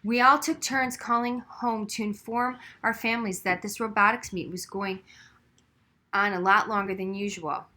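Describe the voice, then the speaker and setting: Bright-voiced woman reading a story. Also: medium pitch, fairly even intonation, moderate pace. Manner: narrates expressively, acting out dialogue. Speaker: audiobook reader. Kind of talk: children's novel read aloud.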